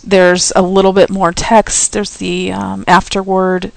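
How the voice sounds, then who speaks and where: A woman's voice: mid-range voice, flat delivery, measured pace, creaky, no echo. A woman co-hosting a casual chat show, often hesitating and restarting her sentences. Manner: hesitant, many restarts and ums. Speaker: podcast co-host.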